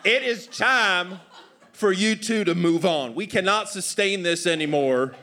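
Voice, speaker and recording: A man playing a tired, exasperated father with a Southern US accent in improvised comedy, loud and scolding. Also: high-pitched, measured pace, a little hiss or hum, pitched above the performer's own voice, full character voice. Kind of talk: improvised comedy storytelling acting.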